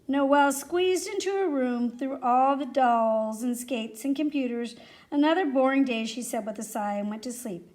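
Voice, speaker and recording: Woman, high-pitched voice, children's storyteller, expressive read-aloud, animated, addresses young listeners. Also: a little slow, few pauses.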